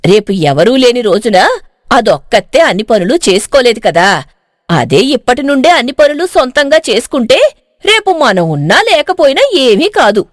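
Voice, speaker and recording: A woman voicing a stern, commanding village mother-in-law in an animated moral story. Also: fairly high pitch, normal speed, no room sound, clean studio sound. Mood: firm, explaining.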